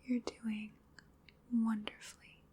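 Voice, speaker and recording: A woman whispering in a breathy voice, giving soothing, unhurried ASMR hypnosis as part of a roleplay. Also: fairly high pitch, flat delivery, dark tone. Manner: soothing, unhurried, repetitive guided relaxation. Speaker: ASMR performer.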